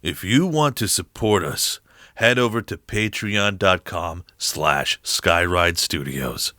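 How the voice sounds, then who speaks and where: Genial, laid-back man of the crew, deep voice, slow delivery, audio drama. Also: expressive, pushed and bright, heavily acted. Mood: promotional. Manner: unhurried, easygoing. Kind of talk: audio drama dialogue and in-character promo.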